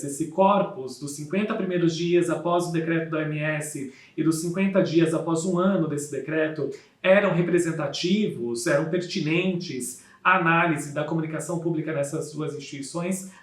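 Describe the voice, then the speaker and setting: A Brazilian man giving a formal scholarly presentation as an academic researcher, in a measured, didactic manner. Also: a little high. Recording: echoey room sound.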